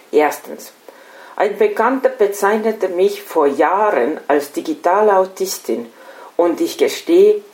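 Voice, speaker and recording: A middle-aged woman from Estonia with an Estonian accent, a non-native speaker reading a scripted lecture in a formal, deliberate way. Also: fairly low pitch, darkish tone. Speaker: lecturer, special-needs educator. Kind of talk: scripted lecture read aloud. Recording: echoey room sound.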